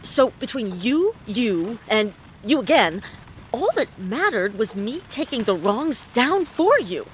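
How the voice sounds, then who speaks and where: A woman playing a wry, hard-boiled private detective in a noir audio drama, deadpan, quippy and world-weary. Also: a little high, takes it slowly, slight background noise, poor audio. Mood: deducing.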